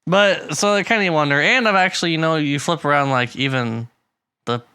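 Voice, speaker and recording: Chatty man with a bright, dry voice. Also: a little high, a little fast, smooth, no room sound. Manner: rambling, laughs often, many restarts. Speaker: podcast co-host. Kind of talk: casual chat. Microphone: pop filter.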